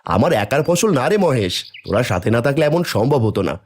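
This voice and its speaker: A man's voice: medium pitch, moderate pace, breathy edge. A man voicing a hopeful, kindly poor farmer and family man in a cartoon. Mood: happy. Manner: animated, warm to family. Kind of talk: cartoon voice acting. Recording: soft music behind the voice.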